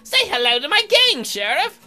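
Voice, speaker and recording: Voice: high-pitched, sing-song, normal speed, sharp tone, faint music behind, voice pushed up, exaggerated voice. A game player voicing a mocking, theatrical male bank robber gang leader from the Wild West. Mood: taunting.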